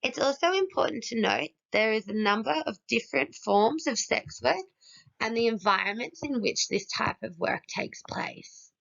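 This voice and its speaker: Female student presenter, hoarse from a cold, heavy vocal fry, animated delivery, steady list reading. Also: fairly high pitch, normal speed. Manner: steady, listing one item after another. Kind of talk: read-aloud presentation. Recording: dull lo-fi recording.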